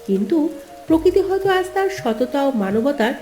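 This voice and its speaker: A woman narrating an animated story in an expressive storytelling manner. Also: high-pitched, fairly quick. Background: background music.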